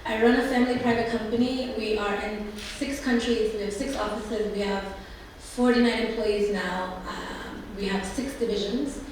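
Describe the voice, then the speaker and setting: A woman's voice: medium pitch, darkish tone, slight background noise. Chatty woman panelist in a roomy hall. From Somalia. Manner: chatty, frequent "like" and restarts. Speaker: alumni panelist. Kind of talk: casual panel talk. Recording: roomy, distant from the mic.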